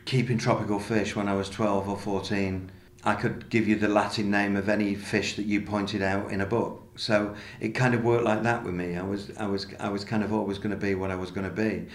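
A middle-aged man from Manchester reflecting in an interview, halting and often repeating himself. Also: on the low side, flat delivery. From Manchester, England. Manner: halting, self-repeating delivery. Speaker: interviewee, designer. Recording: echoey room.

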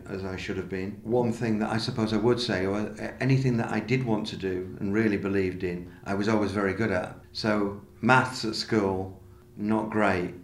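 Middle aged guy being interviewed, lowish voice, repeats himself a bit, echoey room. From Manchester, England. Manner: halting, self-repeating delivery. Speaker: interviewee, designer. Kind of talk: reflective interview conversation.

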